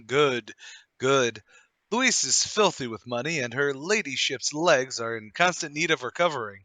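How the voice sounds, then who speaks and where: Man acting a bright, forceful, theatrical gentleman. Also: medium pitch, animated, moderate pace, no room sound. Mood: jovial, teasing. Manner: hearty, theatrical old-chap banter. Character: cheery, brash gentleman brother-in-law with hidden cunning. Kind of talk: radio play table read.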